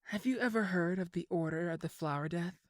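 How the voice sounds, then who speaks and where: A woman's voice: lowish voice, a little fast, no room sound, close to an everyday voice. An actress playing a sly villainous woman in an audio drama, condescending with feigned sweetness. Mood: insinuating. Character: sly, scheming villainous old flame, woman. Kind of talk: scripted audio drama acting.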